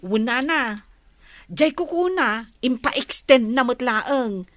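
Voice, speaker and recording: A woman's voice: high voice, lively intonation, slow, no echo, clean studio sound. A woman with an Ilocano accent playing a gossipy village daughter in a radio comedy, explaining things with drawling emphasis.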